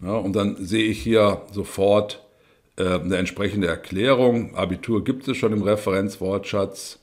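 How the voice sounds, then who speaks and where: A man's voice: fairly low pitch, steady tone, measured pace. A man talking through a spreadsheet in a screen-recorded tutorial, explaining step by step with frequent fillers and tag questions. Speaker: instructor.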